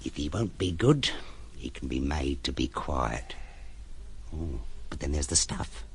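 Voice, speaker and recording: An actor in an audio drama playing a cunning, menacing ruffian henchman with rough, slangy, muttering speech that drops into whispers. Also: rumbling low, lively intonation, measured pace, slight background noise, full character voice. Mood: suspicious, scheming. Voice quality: gravelly. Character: cunning, menacing cockney-style ruffian henchman, excitable and wheedling.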